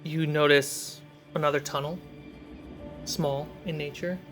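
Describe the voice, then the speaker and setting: Slow, measured game master over music. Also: medium pitch, fairly even intonation, a bit bright. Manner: measured, pausing between short phrases. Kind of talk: atmospheric tabletop game narration. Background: cinematic background music.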